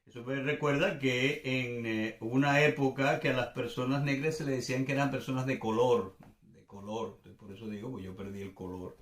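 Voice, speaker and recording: An older man with a Venezuelan accent speaks at a middle pitch in a clearly echoey room. He delivers off-the-cuff commentary in an informal style. He often pauses to search for the next word and restates phrases to stress them. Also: fairly even intonation. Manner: hesitant, searching for words, repeats phrases for emphasis. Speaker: commentator and host.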